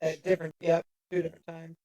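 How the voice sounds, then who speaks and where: A woman in her fifties with a gravelly voice, chatting as a podcast guest and laughing often. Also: low voice, quick pace, rough recording. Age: 50s. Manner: laughs often, lively storytelling. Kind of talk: casual chat. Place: a workshop.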